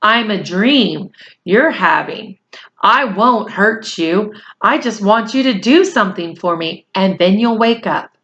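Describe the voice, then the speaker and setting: A woman's voice: medium pitch, lively intonation, slow, a bit bright, dull audio. A woman voicing an earnest boy in a storybook, pleading and reassuring with some urgency. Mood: reassuring, urgent.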